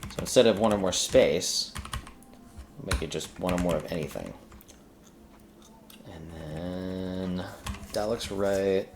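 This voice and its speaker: Low-voiced man thinking aloud, some background noise. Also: takes it slowly, long pauses. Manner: informal, hesitant, thinking aloud while working. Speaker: host of a programming tutorial. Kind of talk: casual screen-recorded coding walkthrough, thinking aloud. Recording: noticeable background noise, keyboard typing.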